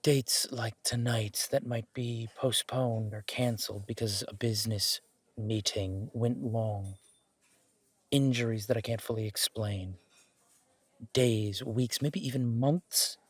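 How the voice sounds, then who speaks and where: A man playing an earnest, protective, wry mafia boss on a first date in a romantic audio roleplay, speaking in a measured, intimate way. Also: medium pitch, steady tone, breathy edge, no echo. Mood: earnest, grave.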